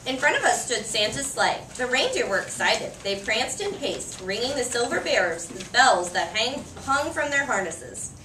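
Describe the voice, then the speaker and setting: Young child story reader, animated delivery, echoey distant recording. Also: mid-range voice, moderate pace, few pauses, slight background noise. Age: child, first grader. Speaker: student reader in a class reader's theater. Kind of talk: expressive reading of a picture-book story aloud.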